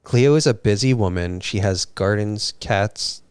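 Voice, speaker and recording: Low-voiced man joking on a stream. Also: measured pace, clear, resonant tone, no room sound. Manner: joking, playful banter. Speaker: livestream co-host. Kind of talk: casual livestream chat.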